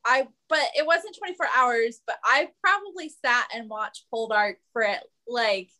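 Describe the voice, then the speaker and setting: Woman, high pitch, lively swooping intonation, emphatic opinions, casual panel chat. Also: a little slow. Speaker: podcast panelist.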